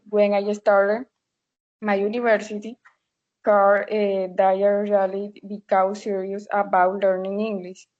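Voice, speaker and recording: A woman of twenty with a Colombian Spanish accent, giving a prepared account in halting phrases. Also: mid-range voice, dull audio. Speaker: podcast panelist, language student.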